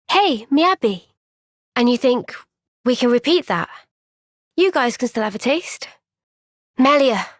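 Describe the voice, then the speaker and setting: An actress voicing a gentle, caring young female soldier in a game cutscene, speaking softly and earnestly. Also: high voice, no echo, studio quality, exaggerated voice. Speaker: game character. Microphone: close studio mic.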